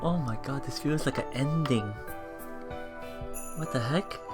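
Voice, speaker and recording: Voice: mid-range voice, unhurried. A person doing a casual let's play, reading visual novel narration aloud and commenting on it, with game music behind.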